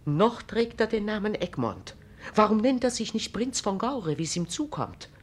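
Imperious, aggrieved regent, formal German drama. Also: female voice, mid-range voice, sing-song, moderate pace. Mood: scornful, resentful. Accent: standard German. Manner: formal, declamatory, emphatic. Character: proud, aggrieved female regent of the Netherlands, imperious. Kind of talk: classic German stage-drama radio acting.

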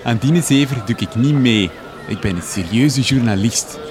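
Mid-pitched, pompous Flemish journalist played by a man. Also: animated, normal speed, over music, lightly acted. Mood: dismissive. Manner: hesitant, pompous. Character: awkward, self-important male journalist, dismissive. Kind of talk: gothic comedy radio drama acting.

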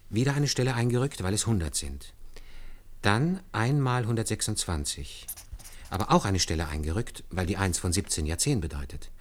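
An actor playing an earnest, deferential man who patiently explains hand arithmetic step by step in a German radio play. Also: deep voice. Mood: earnest, concentrating. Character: earnest, deferential male calculator demonstrating hand arithmetic to superiors.

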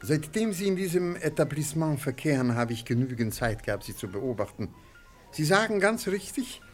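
Radio drama: male actor as elderly antiques dealer, formal, shrewd, measured, middle register, faint music. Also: medium pitch, natural voice. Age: older. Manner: formal, measured, politely ornate. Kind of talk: German radio play acting.

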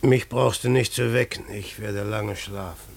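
An actor playing a hearty but scheming male village innkeeper in a German radio play, with deliberate theatrical delivery. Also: on the low side, steady tone, vocal fry. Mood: uneasy, dismissive.

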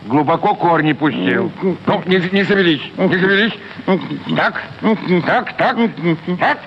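An actor playing a bumbling, boastful village medical orderly in a radio play, stammering, repeating himself and easily offended. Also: male voice, high voice, expressive, a little slow. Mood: condescending, straining. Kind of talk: theatrical radio play acting. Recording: muffled, noisy old radio recording.

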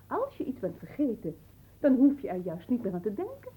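An actress playing a vain, capricious marquise in a radio play, with an affected, cooing and haughty manner. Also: female voice, a little high, wildly swooping pitch, a little fast, breathy edge, phone-quality sound, full character voice. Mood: haughty, curious. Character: vain, capricious marquise doting on her caged songbird. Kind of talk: theatrical radio play acting.

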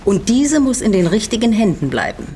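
A woman's voice: mid-range voice, sing-song, a little fast, mellow, slight background noise. Haughty woman of a secret order. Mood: haughty, condescending. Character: haughty, power-hungry noblewoman of a secret order. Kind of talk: audio drama acting.

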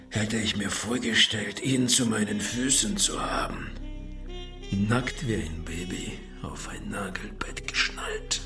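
An actor playing a suave, sadistic crime boss in a radio drama, gloating with ceremonious, menacing politeness. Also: male voice, lowish voice, far from the mic.

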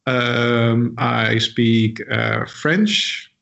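A man's voice: medium pitch, very slow, gravelly, a bit bright. A man whose first language is Dutch, speaking English as an interview guest in a casual conversation, hesitant with many fillers and restarts. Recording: dull lo-fi audio.

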